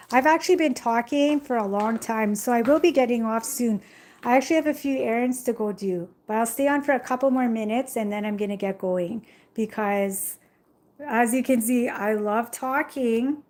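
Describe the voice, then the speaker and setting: A talkative young woman chatting with her viewers on a live stream, recorded on the phone she holds in her hand. Her voice sits a little high, and she rambles easily, full of casual fillers. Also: moderate pace, darkish tone. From Jamaica. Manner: chatty, rambling, frequent "like" and "you know". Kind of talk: casual live chat reading viewer comments. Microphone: phone held in hand.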